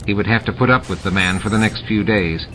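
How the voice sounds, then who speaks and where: A man's voice: on the low side, fairly quick, a bit bright, a little hiss or hum, low-quality recording. A man narrating scripted fiction as an audiobook reader. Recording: music and sound effects mixed under narration.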